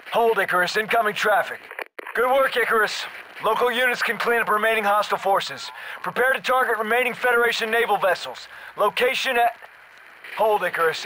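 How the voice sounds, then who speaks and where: An actor playing a gruff, commanding middle-aged special forces soldier in a military shooter game, giving clipped, urgent orders. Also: male voice, extremely high pitch, pushed and bright, a little hiss or hum, rough recording.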